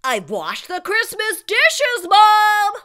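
A woman's voice: very high-pitched, huge pitch swings, takes it slowly, pushed and bright, pitched above the performer's own voice, full character voice. A woman voicing an eager, self-satisfied young male cat in a children's storybook, earnest and boastful. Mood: proud, helpful.

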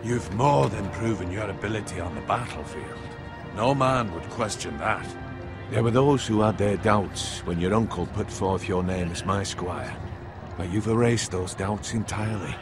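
An actor playing a proud middle-aged medieval lord in a fantasy game, speaking in a measured, formal, commanding way. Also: male voice, fairly low pitch, close to an everyday voice. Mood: calm, fatherly. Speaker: video game character actor. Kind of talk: cinematic fantasy game dialogue. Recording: game music behind the voice.

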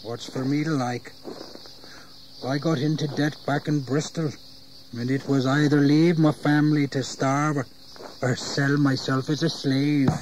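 A man plays a bitter indentured English servant in a history radio drama. He talks slowly in a rustic, grumbling yet deferential way. There is faint background noise. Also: a little high, level tone, a little mellow, close to an everyday voice, rough recording. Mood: bitter, weary. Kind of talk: dramatized audio history acting. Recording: 1980s audio drama, insect ambience.

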